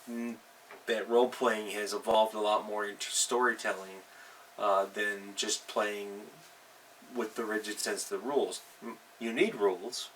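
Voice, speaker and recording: Middle-aged man, low but forceful voice, halting and emphatic vlog host, picked up by a distant mic. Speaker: host, tabletop game master. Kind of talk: casual advice vlog, unscripted. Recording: distant mic with faint background noise.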